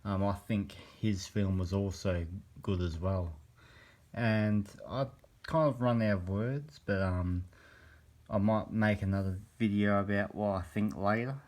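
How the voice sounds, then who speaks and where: Man, 20s, very low voice, croaky with a cold, hesitant casual vlog, phone camera. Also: steady tone, a little mellow. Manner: hesitant, meandering, many pauses. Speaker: vlogger. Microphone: handheld phone camera.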